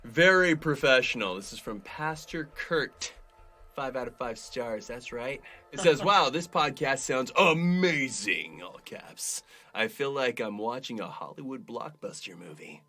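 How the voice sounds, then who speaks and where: A man's voice: mid-range voice, lively intonation, a little slow, pushed and bright. A man co-hosting a podcast, reading listener reviews and bantering casually in a playful, teasing, mock-emphatic way. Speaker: podcast co-host.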